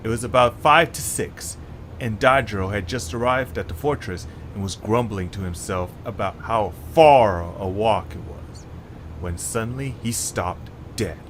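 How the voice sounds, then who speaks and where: A man's voice: on the low side, measured pace, fairly bright tone, a little hiss or hum. A man reading scripted storytelling narration for an audio drama.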